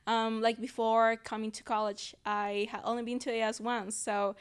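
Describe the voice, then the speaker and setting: A young woman from El Salvador, a native Spanish speaker, chats as a guest on a podcast. Her voice sits a little high. She hesitates often, filling gaps with "like" and "um" and restarting sentences as she goes. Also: little pitch movement, moderate pace, clean tone. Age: 20s. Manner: hesitant, many fillers and restarts. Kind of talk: casual interview chat.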